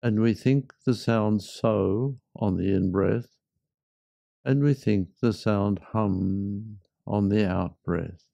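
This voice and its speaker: Male meditation guide, gravelly mellow voice, reflective, frequent pauses, roomy echo. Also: on the low side, steady tone.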